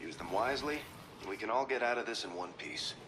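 An actor playing a brisk, commanding admiral in a video game briefing, formal and decisive. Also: male voice, lowish voice, lively intonation, noisy background, lightly acted. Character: brisk, commanding male admiral giving battle orders.

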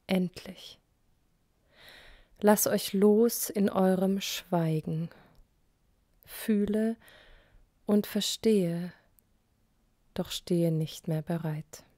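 Slow, soft-spoken woman reciting poetry. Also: mid-range voice, steady tone, no room sound, clean studio sound. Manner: measured, soft, breathy phrase endings with long pauses. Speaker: poet.

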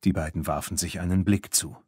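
A man's voice: deep voice, fairly even intonation, a little fast, a little mellow, slightly rough, no echo, clean studio sound. A man narrating a scripted children's story as an audiobook reader.

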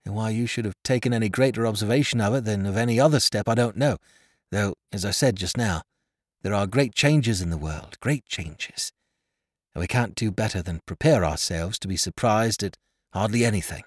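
A man voicing an excitable, warm-hearted young wife in a Victorian storybook, breathless and exclaiming. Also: lowish voice, normal speed, breathy edge, dry recording, clean studio sound, pitched below the performer's own voice. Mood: flustered, hurried.

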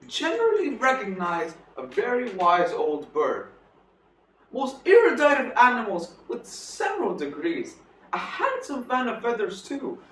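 An echoey, distant stage recording of a bumbling old turkey reverend. The voice is pitched high and swoops theatrically, pompous one moment and flustered the next, tripping over words. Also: male voice, fairly bright tone, a little hiss or hum, exaggerated voice. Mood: pompous, proud. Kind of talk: theatrical comic monologue performance. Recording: echoey room, distant mic.

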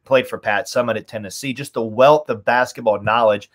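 Man in his thirties, mid-pitched voice, conversational sports analysis, emphatic repetition, video call from home. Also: fairly even intonation, a little fast, a bit bright. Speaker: radio play-by-play announcer, podcast guest. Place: small home room, indoors. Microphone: video call, webcam framing.

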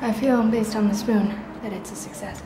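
A woman's voice: on the high side, steady tone, moderate pace, very noisy. A woman in her twenties, goofing her way through a silly home cooking show in a small kitchen, filmed on a handheld camera. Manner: playful, silly, self-mocking. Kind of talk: goofy mock cooking show. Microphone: handheld camera at arm's length. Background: sixties French pop playing.

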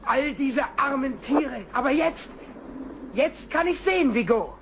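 An actor voicing a young lion prince, earnest and brave, in a children's radio play. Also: male voice, very high voice, lively intonation, a little slow, slight background noise, exaggerated voice. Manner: earnest, pleading. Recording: vintage radio play with loud music.

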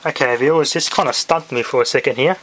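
Man, informal hobbyist presenter, thinking aloud, medium pitch, faint noise, handheld home video. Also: quick pace, a bit bright. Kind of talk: casual hands-on demonstration. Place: indoors in a home room. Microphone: handheld camera or phone.

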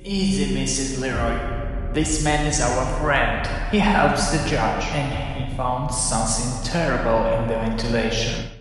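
An actor playing a calm, authoritative male toad sheriff in a video game, speaking reassuringly. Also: mid-range voice, measured pace, background noise, lightly acted. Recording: echoey game audio with long reverb.

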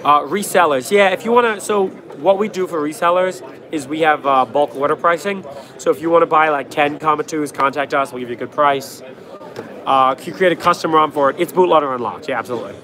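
A young man hosting a casual livestream from a trade show floor, answering chat in a quick, offhand, joking way, his voice worn from a cold. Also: a little high, sharp tone. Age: young adult. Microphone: phone held at arm's length. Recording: noisy crowded convention hall. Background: crowd chatter.

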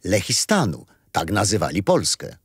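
A kindly old grandfather in a children's radio play, explaining things patiently with gentle storytelling. His intonation moves around in a lively way and the sound is close and dry. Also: low-pitched, moderate pace, slightly rough, no echo, full character voice. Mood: storytelling, amused.

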